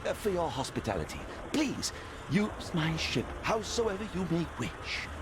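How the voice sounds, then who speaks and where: An actor playing an eager, helpful printer in a video game, speaking politely and earnestly. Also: male voice, on the high side, very animated, measured pace, noisy background. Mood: earnest, hopeful. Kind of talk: video game cutscene acting. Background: music.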